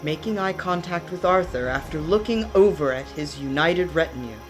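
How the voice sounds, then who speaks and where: A woman giving dramatic, deliberate scripted narration for a radio play. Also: sits low, lively intonation, over music.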